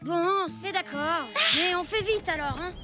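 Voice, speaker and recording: Cartoon cave boy: very high child voice, eager and easily flustered, over loud music. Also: measured pace. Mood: reluctant. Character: eager, lovestruck young prehistoric cave boy. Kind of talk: French cartoon dialogue.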